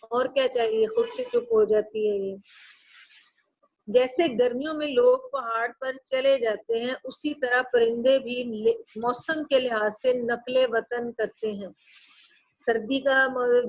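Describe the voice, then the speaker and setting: A woman teacher with a Pakistani Urdu accent reads a text to her class and explains each line in simpler words, jumping to a high pitch when she stresses a point. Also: no echo. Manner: reads a line aloud, then restates it in plainer words. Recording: dull, muffled sound.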